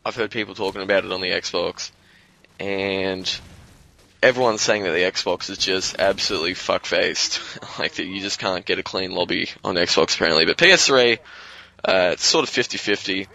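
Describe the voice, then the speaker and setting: Young Australian man, low voice with a forceful bright edge, slightly hoarse, loose rambling gamer talk. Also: moderate pace, low-quality recording. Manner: rambling, loose, swears freely. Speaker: guest commentator. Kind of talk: casual gaming commentary.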